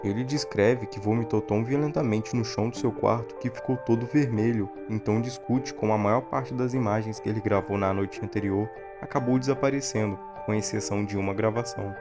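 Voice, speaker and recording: A man's voice: lowish voice, steady tone, a little fast, hardly any pauses. Brazilian man narrating over music. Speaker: video essay narrator. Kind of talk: scripted narration and analysis. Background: background music.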